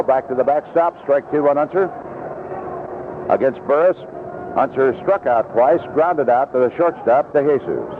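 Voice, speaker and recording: A man's voice: mid-range voice, phone-quality sound, background noise. A man calling baseball play-by-play live from a ballpark booth on a 1970s radio broadcast.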